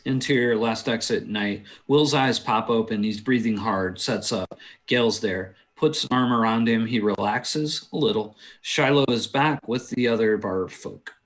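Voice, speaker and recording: A man's voice: on the low side, a little slow, low-quality recording. A man directing a table read, reading stage directions aloud and adding casual asides.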